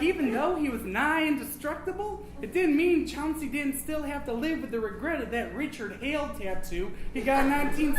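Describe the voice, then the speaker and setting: A man voicing a vain, absent-minded old vampire, reading a comic storybook aloud in a melodramatic, pompous, campy manner. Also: extremely high pitch. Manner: melodramatic, pompous, campy, hushed then suddenly loud. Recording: echoey room, noisy live recording.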